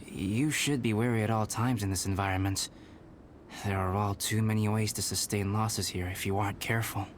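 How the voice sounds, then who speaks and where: A man voicing a calm, scholarly young alchemist in a game, composed and precise with a dry wit. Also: on the low side, moderate pace, slight background noise, natural voice. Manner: composed, precise, softly wry. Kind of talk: game cutscene dialogue.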